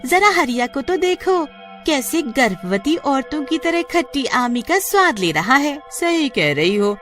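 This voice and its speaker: A woman's voice: sits high, sing-song, measured pace, fairly bright tone, faint music behind, voice pushed up, exaggerated voice. A woman voicing a mocking village gossip in a cartoon story, teasing in an exaggerated way. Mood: mocking, gossipy.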